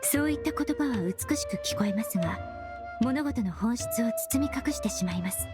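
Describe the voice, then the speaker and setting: A woman playing a courteous, earnest young perfumer in an anime game, speaking formally and explaining in a measured way. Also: a little high, expressive, loud music behind the voice, lightly acted. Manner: polite formal speech, measured explanatory delivery. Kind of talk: Japanese anime game voice acting.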